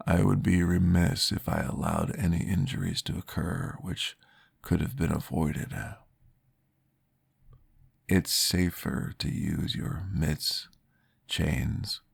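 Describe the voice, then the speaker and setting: Deep, gravelly, slow-spoken vampire therapist, formal and reassuring, in an ASMR roleplay, voiced by a man. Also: fairly even intonation, heavily acted.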